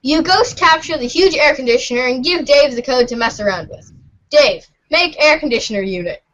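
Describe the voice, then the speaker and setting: A woman's voice: high voice, lively intonation, brisk, fairly bright tone. A woman reading scripted narration for an amateur reader's theater, playful and mock-serious. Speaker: narrator, regular of the show. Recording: muffled lo-fi home recording.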